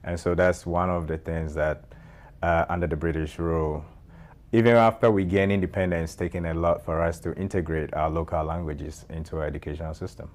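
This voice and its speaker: A Ghanaian man answering interview questions in a measured way, with many fillers and restarts. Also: deep voice, fairly even intonation, a little mellow. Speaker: guest, nonprofit founder.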